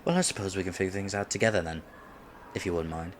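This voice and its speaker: A man's voice: sits low, fast, slight background noise, close to an everyday voice. A man acting in a roleplay audio drama as an awkward, geeky man on a blind date, stammering, flustered and self-deprecating. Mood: playful, shy.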